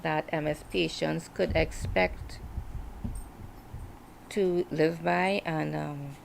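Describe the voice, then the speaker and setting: A woman in her forties talking casually on a livestream, reflective and hesitant, with many pauses and fillers. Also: low-pitched, fairly even intonation, gravelly, slight background noise, rough recording. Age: 40s. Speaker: writer hosting a livestream.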